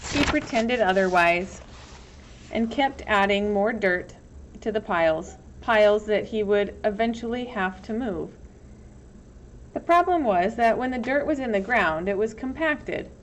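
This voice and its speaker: A woman's voice: medium pitch, expressive, unhurried. A woman reading a children's novel aloud as storybook narration. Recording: dull, lo-fi with some background noise.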